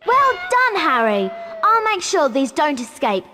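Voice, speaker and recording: A bossy young witch in a video game. Her high, animated voice gives confident instructions, with hall reverb and music behind. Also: unhurried, exaggerated voice. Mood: confident, instructive. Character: bright, bossy, admiring schoolgirl witch. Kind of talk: video game cutscene acting.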